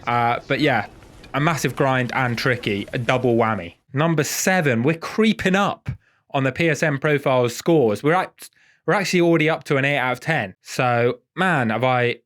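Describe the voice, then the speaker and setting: A man's voice: medium pitch, moderate pace, a bit bright. Nasal man with a cold. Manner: chatty, self-deprecating. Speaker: gaming channel host. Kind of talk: casual video commentary.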